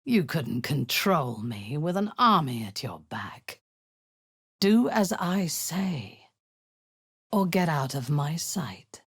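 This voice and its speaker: A ruthless drow war leader lady for a game, super breathy n husky, slow, menacing, bossy, kinda seductive. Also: low-pitched, big pitch swings, dry recording, studio quality, exaggerated voice. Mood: imperious. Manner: commanding, menacing, deliberate. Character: ruthless drow female war commander, zealous, cruel, seductive. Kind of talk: video game voice acting.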